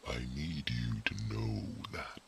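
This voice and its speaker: A man voicing a whispering, gravelly mind-reading mage. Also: extremely low pitch, slight background noise, heavily acted. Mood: tender. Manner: soft, slow, formal whispering with no contractions. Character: wise, devoted male mage who reads minds. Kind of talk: whispered ASMR roleplay.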